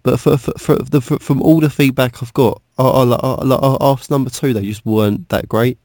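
Male co-host, rapid and jokey, stammering word repeats, dry close-up sound. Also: medium pitch, fairly even intonation, darkish tone, dry recording. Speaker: podcast co-host. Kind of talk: casual banter.

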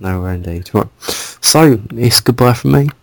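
A man's voice: medium pitch, expressive, measured pace, creaky. A man co-hosting a podcast in casual chat, agreeable and laid-back in the way he talks. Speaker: podcast co-host.

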